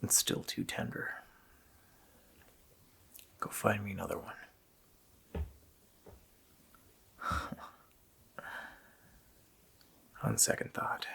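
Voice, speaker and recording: A man voicing a sinister, taunting Christmas demon in a slow, hoarse, menacing whisper for an ASMR roleplay. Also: deep voice, sharp tone, heavily acted. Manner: slow, menacing, drawn-out phrasing.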